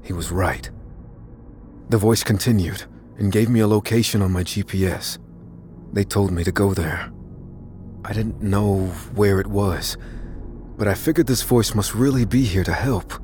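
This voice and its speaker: A man playing an anxious, unassuming narrator who recounts his own mystery in a measured, confessional way for an audio drama. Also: on the low side, a little mellow, lightly acted. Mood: uneasy, intent. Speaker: narrator of a fiction podcast. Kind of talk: first-person dramatic scripted narration. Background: faint music.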